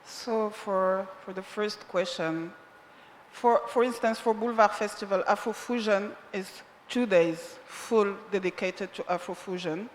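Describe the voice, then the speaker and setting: A woman's voice: medium pitch, unhurried. A woman festival organizer speaking as a panelist, starting hesitantly with repeats. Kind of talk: panel discussion. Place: large conference hall. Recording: long hall reverb, faint background noise.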